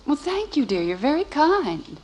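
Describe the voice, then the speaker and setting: A woman's voice: high-pitched, sing-song, moderate pace, darkish tone. An actress playing a dry-witted, sweetly sarcastic wife and mother in a 1950s radio sitcom. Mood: sweetly pointed.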